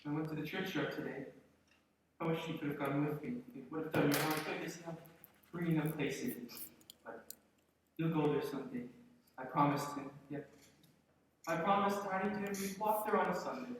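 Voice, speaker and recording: An actor in a live radio play playing a gentle, grieving father, humble and earnest, speaking haltingly. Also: a little high, natural voice. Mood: tender, brave. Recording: echoey hall, distant, faint noise.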